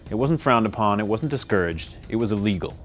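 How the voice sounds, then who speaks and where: A man reading a scripted news narration with crisp, emphatic delivery. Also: on the low side, lively intonation, fairly quick, faint music behind.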